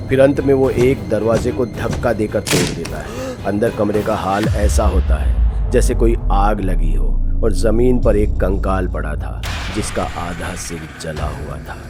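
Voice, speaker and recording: Casting: male narrator for scripted horror stories, fairly deep voice, suspenseful, dramatic delivery.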